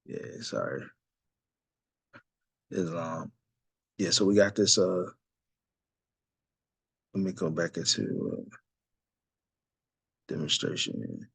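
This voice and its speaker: A low, husky man talks through a religious study lesson in a loose, easygoing way, with plenty of fillers and side remarks. The sound is dry and close, with no room around it. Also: very animated, slow, pauses often, no echo. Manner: loose, conversational, many fillers and asides. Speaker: religious teacher leading a study discussion. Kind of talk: casual religious study talk.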